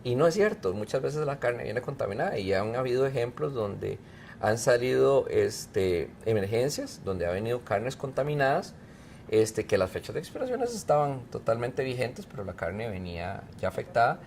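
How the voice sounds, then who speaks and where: A middle-aged man, a professor and researcher from Mexico who grew up in Costa Rica, answering interview questions in a relaxed, explanatory way with frequent fillers. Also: mid-range voice, normal speed, creaky, slight background noise. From Mexico, raised in Costa Rica. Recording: faint music behind.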